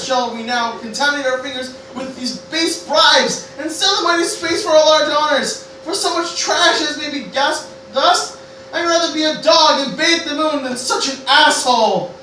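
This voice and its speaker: Woman acting anxious teen grandson, stammering Shakespearean declamation, high sharp voice, cavernous room, background noise. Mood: indignant. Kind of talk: Shakespearean parody stage acting. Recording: very echoey room, distant mic, noisy.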